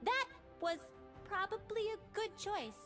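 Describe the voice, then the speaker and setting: A young mage heroine from a video game, very high in pitch and animated. She sounds earnest and optimistic, with a teasing sarcastic streak. Music plays behind her. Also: unhurried, full character voice. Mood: cheeky, teasing. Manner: bright-eyed earnest, playfully sarcastic. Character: optimistic, cheeky young female mage of light, lighthearted heroine. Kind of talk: video game character voice lines.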